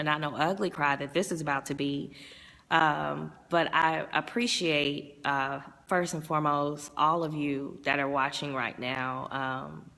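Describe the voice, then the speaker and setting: Low, raspy-edged woman host, slow unhurried chat, strained voice. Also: pushed and bright, long reverb tail. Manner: chatty, rambling, reads viewer comments aloud. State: strained voice from overuse. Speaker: vlogger and music promoter. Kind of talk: casual live-stream chat.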